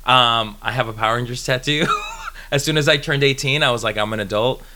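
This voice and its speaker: A man's voice: medium pitch, fairly quick. A man in his thirties from Colombia, a native Spanish speaker, chatting as a podcast guest and laughing often while telling stories. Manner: laughs often, animated storytelling. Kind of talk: casual chat.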